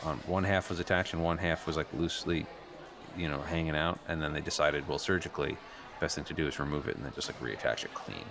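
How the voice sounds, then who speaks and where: Casting: awkward teen transfer student, bottom-of-the-range voice, understated improv comedy delivery, unsure and stop-start. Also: male voice, a bass voice, creaky. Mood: amazed, curious. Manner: hesitant, halting, understated. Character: self-doubting teenage boy, new transfer student at school. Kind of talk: improvised comedy dialogue, naturalistic casual chat. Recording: noisy room ambience.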